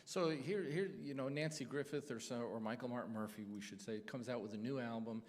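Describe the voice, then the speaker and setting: A man's voice: medium pitch, brisk, darkish tone. A man hosting a radio show, interviewing a guest in a conversational live style. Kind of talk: live on-stage interview chat. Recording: live hall with long reverb.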